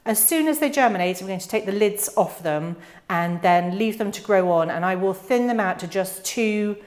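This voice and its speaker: A young woman from the UK talks through her seed choices in a relaxed, chatty teaching voice. Her pitch sits in the middle, and she drops in casual fillers and small laughs as she explains. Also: normal speed. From United Kingdom. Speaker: gardening channel host. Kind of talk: casual instructional voice-over.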